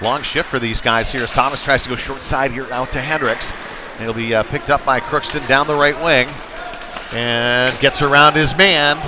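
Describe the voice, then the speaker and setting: A man's voice: mid-range voice, fairly even intonation, moderate pace, noisy background. A man calling live hockey play-by-play in clipped present-tense phrases that build with the action. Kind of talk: live sports commentary.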